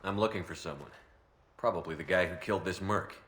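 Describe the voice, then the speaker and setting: A confident heroic commander from a video game, with a deep, forceful voice. He talks briskly and directly, calm and dryly witty, with a roomy echo around him. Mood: businesslike. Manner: direct, calm, dry wit. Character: steady, confident heroic military commander, adult man.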